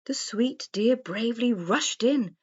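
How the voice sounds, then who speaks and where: A woman's voice: fairly high pitch, unhurried, darkish tone, breathy edge, highs cut off. A woman storyteller reading a rhyming children's story aloud as scripted narration.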